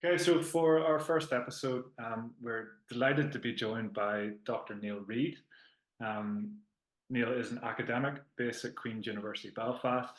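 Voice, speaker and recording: A man hosts a podcast, his voice sitting at a middling pitch. He talks earnestly and haltingly, restarting his phrases. The recording has a noticeable room echo. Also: steady tone. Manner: earnest, hesitant, frequent restarts. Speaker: podcast host. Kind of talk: podcast introduction and interviewing. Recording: echoey room.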